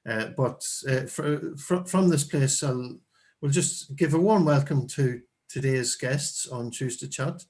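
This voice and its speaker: A man's voice: mid-range voice, unhurried, darkish tone, slightly rough. Hesitant stammering Irish man hosting. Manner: hesitant, stammering, lots of fillers. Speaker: host. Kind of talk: casual chat.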